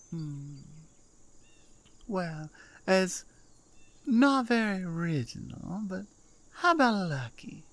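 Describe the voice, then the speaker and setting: Lady doing a sweet southern cow-girl farmer, low and real slow, soft drawl. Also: heavily acted. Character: gentle southern cowgirl sheep farmer, cow-woman. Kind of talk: ASMR roleplay.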